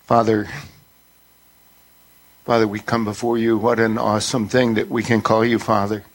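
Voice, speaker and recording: A man's voice: fairly low pitch, flat delivery, moderate pace. A male pastor praying aloud before others in an earnest, reverent manner, addressing God again and again. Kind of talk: extemporaneous public prayer.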